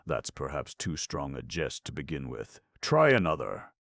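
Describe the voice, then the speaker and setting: A man's voice: rumbling low, sing-song, moderate pace, vocal fry, fairly bright tone, no echo, clean studio sound, heavily acted. A man voicing a witty young prankster announcing his act with theatrical flair. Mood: playful. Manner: showy, theatrical. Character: witty young male jester, performing.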